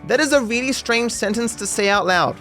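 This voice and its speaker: A man's voice: very high-pitched, brisk, sharp tone. A man reading scripted comedic commentary on a video game in a dry, mock-serious, sarcastic way. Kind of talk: scripted comedic narration over game footage. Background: music under the voice.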